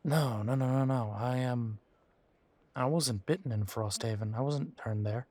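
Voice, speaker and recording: Man playing wry young male werewolf, bitter, sardonic, conversational audio roleplay, slow halting delivery, dry close sound. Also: medium pitch, a little mellow, no room sound, lightly acted. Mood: rueful, bitter. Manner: halting, sardonic, conversational with sighs and repeated words. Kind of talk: audio roleplay drama, one-sided intimate conversation.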